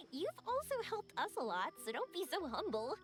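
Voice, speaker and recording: A bubbly young woman adventurer from a video game, warm-hearted and encouraging. Her high voice swings widely in pitch, with faint music behind. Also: wildly swooping pitch, unhurried, full character voice. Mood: friendly, encouraging.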